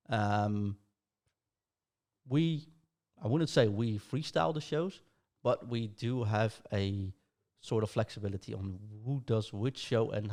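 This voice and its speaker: A man's voice: on the low side, darkish tone. Dutch-accented man hosting a podcast. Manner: rambling, with fillers and restarts. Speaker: podcast host. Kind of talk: casual podcast announcement.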